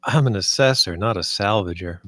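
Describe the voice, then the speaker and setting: An actor at a script table read playing a gruff, pedantic and resourceful space derelict assessor. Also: male voice, fairly low pitch, brisk, a bit bright, no echo, clean studio sound, natural voice. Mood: defensive.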